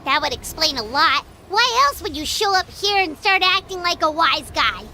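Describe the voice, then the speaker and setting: A tiny, excitable companion in a fantasy game. Her voice sits very high and leaps about wildly, shrill and accusing. Background noise is clearly heard under the dialogue. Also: moderate pace, full character voice. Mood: scornful.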